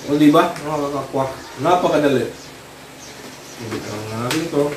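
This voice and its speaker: A Filipino man giving a casual hands-on tutorial, talking informally in short, halting phrases as he works. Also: a little high. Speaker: vlogger demonstrating product installation. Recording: roomy, distant mic with clear noise.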